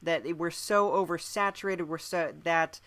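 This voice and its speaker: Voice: mid-range voice, steady tone, unhurried, fairly bright tone, dry recording. A podcast host in casual interview conversation, speaking thoughtfully with hesitant phrasing.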